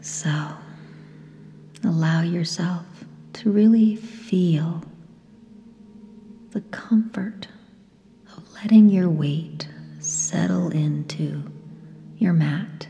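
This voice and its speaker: Very slow, whispery woman guiding meditation. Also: fairly low pitch, a little hiss or hum. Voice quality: breathy, whispery, soft. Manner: gentle, unhurried, long pauses between short phrases. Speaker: yoga nidra guide. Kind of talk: guided meditation, slow and soothing. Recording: long reverb, soft music behind. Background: sound bath music.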